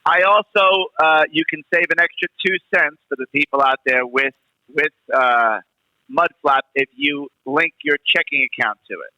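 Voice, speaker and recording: A man's voice: on the high side, moderate pace, sharp tone, no echo, rough recording. A man with a New York accent calling in to a radio show and chatting casually. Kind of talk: casual phone-in chat.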